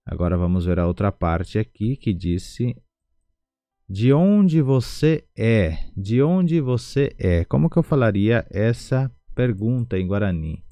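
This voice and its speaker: Brazilian guy teaching a language lesson, warm dark tone, slow repeats of each word. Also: lowish voice, lively intonation, no echo, soft background music. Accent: Brazilian Portuguese. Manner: slowly repeats the words it teaches, syllable by syllable.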